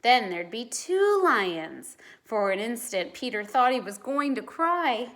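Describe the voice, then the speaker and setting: A woman narrating a children's storybook like a teacher reading aloud, with dramatic, deliberate emphasis. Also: on the high side, sing-song, a bit bright.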